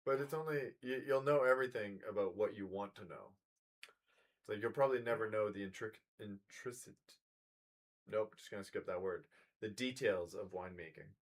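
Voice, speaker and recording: A man in his thirties co-hosting a casual chat, dry and teasing in a low-key way. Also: moderate pace. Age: 30s. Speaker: podcast co-host.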